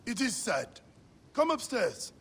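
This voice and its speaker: An actor playing a weary, frank village priest who advises a friend in a video game. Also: male voice, extremely high pitch, wildly swooping pitch, slow pace, a bit bright, slight background noise, full character voice. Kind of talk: dramatic video game acting.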